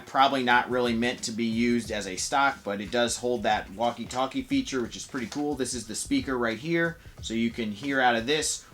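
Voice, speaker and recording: A man's voice: mid-range voice, sharp tone, slight background noise. A man giving a casual, enthusiastic, conversational product review as a toy reviewer.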